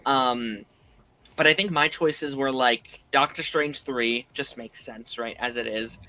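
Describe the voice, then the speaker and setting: A man who is a little hoarse from being under the weather, chatting casually on a podcast and filling his talk with "like". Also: mid-range voice, slow pace, pushed and bright, slight background noise, low-quality recording. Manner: rambling, many "like" fillers.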